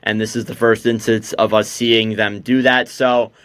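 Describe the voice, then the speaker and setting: A man's voice: lowish voice, flat delivery, normal speed, fairly bright tone, clean tone, no room sound. A young man hosting a casual hockey podcast, chatty and loose with lots of fillers. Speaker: podcast host.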